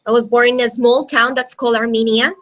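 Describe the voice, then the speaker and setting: A Colombian-accented woman in her thirties with a high, bright voice tells a class about herself as a guest teacher. She takes her time, speaking informally as a native Spanish speaker. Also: little pitch movement, a little fast. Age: 30s. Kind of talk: informal self-introduction to a class. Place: video call.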